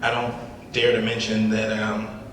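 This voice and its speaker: A man's voice: lowish voice, completely flat delivery, moderate pace, fairly bright tone, close to an everyday voice. A man playing a cocky, crude young stand-up comedian telling a story in a script reading. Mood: smug, crude. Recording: very echoey hall, far mic, faint noise.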